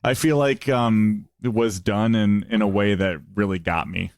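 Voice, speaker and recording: A man's voice: steady tone, unhurried, no echo, clean studio sound. A man appearing as a guest musician on a podcast, chatting casually and enthusiastically, with many fillers. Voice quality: low voice. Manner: enthusiastic, hesitant, frequent "like" and "um". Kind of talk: casual conversation.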